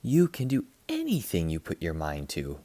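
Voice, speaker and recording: Man reading as a gentle, encouraging mother. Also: low voice, wildly swooping pitch, normal speed, dry recording, voice pushed down. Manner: soft, reassuring.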